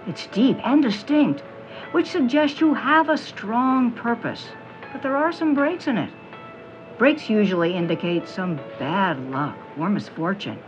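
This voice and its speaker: A slow-talking fortune-teller, theatrical and portentous, her pitch swinging for effect. She pauses dramatically like a mystic in an audio drama, with music playing behind her. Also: sing-song. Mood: mystical, portentous. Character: theatrical fortune-teller, businesslike about payment. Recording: dull sound with music behind.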